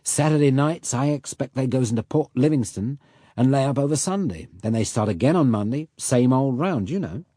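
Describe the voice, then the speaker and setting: A man voicing a rough Cockney boat mechanic who talks in blunt working-class slang. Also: mid-range voice, expressive, normal speed, warm tone, no echo, clean studio sound, voice pushed up, natural voice. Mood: persuasive.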